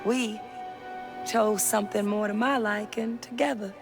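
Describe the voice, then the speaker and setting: A woman's voice: mid-range voice, fairly even intonation, measured pace, lightly acted. Actress doing a southern mom looking back, tender but proud, middle-aged, music under her. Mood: reminiscing. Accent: American South. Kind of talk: reflective video game voice-over. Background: game music.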